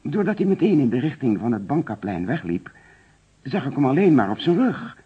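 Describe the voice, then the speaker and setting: Nervous, evasive suspect, muffled and husky. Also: male voice, mid-range voice, sing-song, brisk. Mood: agitated, defensive. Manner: hesitant, defensive, repeats himself. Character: nervous, evasive male suspect under police questioning. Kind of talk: radio play acting. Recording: dull, fuzzy old radio recording.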